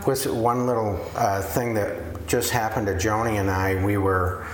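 Slow-talking man telling a story, echoey room. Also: lowish voice, steady tone. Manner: slow, chatty, affirming with many yeahs. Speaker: ministry guest. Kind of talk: casual storytelling.

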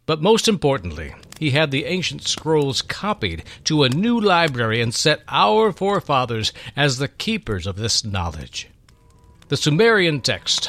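A man playing a kindly, patient old seer, measured and fatherly, in a dramatic storybook reading. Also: medium pitch, fairly bright tone, pitched above the performer's own voice. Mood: grand, patient.

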